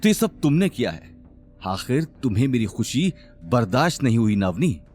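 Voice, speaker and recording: Male narrator as arrogant audio-drama businessman: spiteful, taunting, hot-headed, very animated pitch, soft music bed. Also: moderate pace. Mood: accusing, sneering. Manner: sneering, taunting. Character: arrogant, hot-tempered, spiteful young businessman sneering at rivals. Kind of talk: Hindi audio drama acting.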